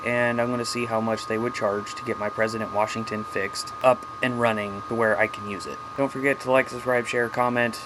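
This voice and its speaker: Man, casual vlogger, folksy and blunt, middling pitch, noticeable ambient rumble behind him. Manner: plain-spoken, folksy, mildly salty. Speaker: hobbyist video host. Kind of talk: casual unscripted show-and-tell vlog.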